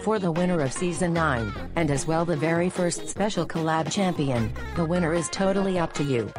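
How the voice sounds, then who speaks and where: Low-voiced woman announcing over music. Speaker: narrator and show announcer. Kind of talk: scripted narration.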